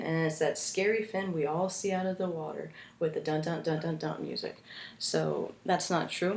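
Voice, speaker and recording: Young woman, earnest class speaker, lowish pitch, keeps restarting; recorded in a roomy space. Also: a little hiss or hum. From United States. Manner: earnest, halting, frequent restarts. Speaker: student speaker. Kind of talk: informative class speech. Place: room at home with roommates listening. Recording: echoey room.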